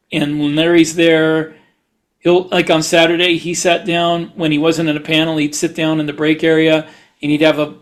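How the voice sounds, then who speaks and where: A man chatting casually as a podcast host, rambling through anecdotes. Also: on the high side.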